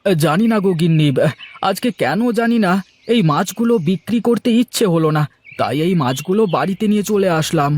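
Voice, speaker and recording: A man voicing a good-natured but anxious village fisherman in a moral-story cartoon, animated and homely, full of exclamations. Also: high voice, fairly quick. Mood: homely, reassuring. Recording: music behind the voice.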